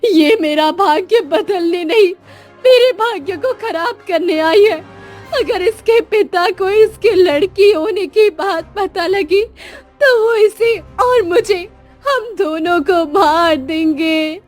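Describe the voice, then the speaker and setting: A woman's voice: very high-pitched, takes it slowly, soft background music. A woman playing a sorrowful, fearful young queen and tender mother in a cartoon story. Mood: fearful, bitter. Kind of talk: Hindi cartoon moral story acting.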